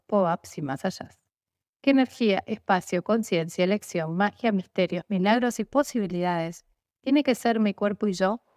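Woman reciting rhythmic clearing statements. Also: mid-range voice, no echo, studio quality. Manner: steady rhythmic recitation of set phrases. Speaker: facilitator.

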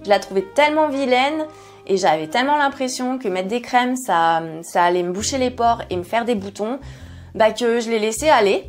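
A woman's voice: a little high, lively intonation, moderate pace, soft background music. A woman in her forties telling her own story in a candid home vlog, chatty and self-mocking, full of fillers and sighs. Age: 40s. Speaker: skincare YouTuber. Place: home kitchen set, indoors. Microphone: fixed camera, seated at medium distance.

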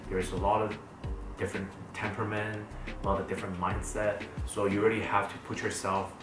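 Mandarin-native man, low voice, roomy sound. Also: fairly quick. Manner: many fillers and restarts, animated hand gestures. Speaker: co-host of a couple's channel. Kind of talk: casual Q&A chat. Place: bright living room, sitting on a couch. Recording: roomy, distant sound with background music.

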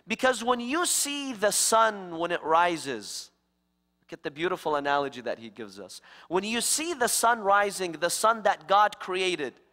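Guy preaching slow and emphatic in a big church, highish voice, lots of hall echo. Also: lively intonation, bright, forceful tone. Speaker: guest preacher. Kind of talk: interfaith sermon. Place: large church sanctuary, standing before the congregation.

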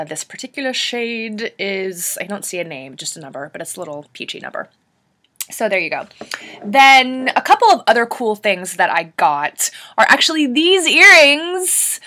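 Bubbly thirties beauty blogger with a cold-roughened throat, bright and chatty. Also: female voice, on the high side. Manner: chatty, bubbly, gushing over products. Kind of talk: casual product haul video.

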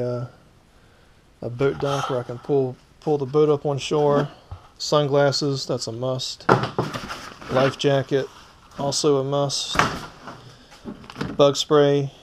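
A man casually walking through his gear item by item, as the host of a hands-on fishing vlog. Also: medium pitch. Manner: informal, explaining item by item. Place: indoors in a cluttered garage. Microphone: head-mounted action camera. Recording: clicky, noisy action-camera audio.

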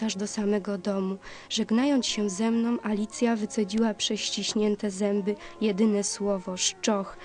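Casting: female narrator, medium pitch, dramatic literary delivery with mock-tragic weight. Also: a little mellow. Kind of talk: dramatic scripted first-person narration.

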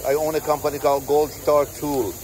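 A man's voice: on the high side, level tone, darkish tone. A man interviewing an exhibitor on a trade show floor, stopping now and then to hunt for the right word. Faint noise from the hall sits under his voice, picked up by a handheld camera. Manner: halting, searching for words. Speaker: tool company owner and host.

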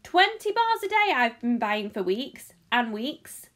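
A woman voicing a large, envious boy, loud and indignant, in a children's audiobook. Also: a little high, big pitch swings, measured pace. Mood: angry, envious. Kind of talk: children's audiobook character acting.

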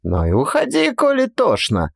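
A man voicing a frail, gentle old Cossack mother who rambles in folksy rural speech in a dramatized audiobook. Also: high-pitched, wildly swooping pitch, normal speed, creaky, husky, no echo, clean studio sound, pitched above the performer's own voice, heavily acted. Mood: resigned fretting. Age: older.

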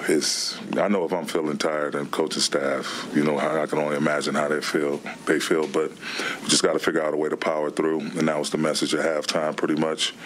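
A middle-aged man, a basketball head coach, answering questions at a postgame press conference in a plainspoken, relaxed way. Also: a bass voice, fairly quick, slight background noise. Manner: relaxed, plainspoken, frequent "man" and "you know". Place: press room before a team backdrop. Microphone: table microphone.

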